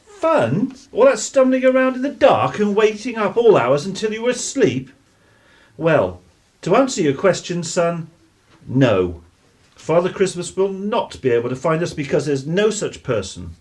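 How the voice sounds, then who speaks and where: An actor playing a gruff, easily exasperated English father of the 1950s in a radio play. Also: mid-range voice, sing-song, unhurried, darkish tone. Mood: wry. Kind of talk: British radio play acting.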